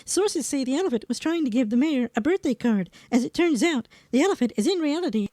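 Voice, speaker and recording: A woman's voice: high voice, animated, quick pace, dark tone, no room sound, studio quality. A woman playing a composed, matter-of-fact TV news anchor reading a scripted parody newscast.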